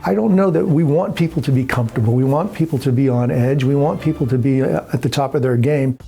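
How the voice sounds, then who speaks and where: A man's voice: medium pitch, fast talker, gravelly, mellow, faint music behind. A man speaking as a manager in an interview, explaining how his workplace runs. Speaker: air traffic control manager.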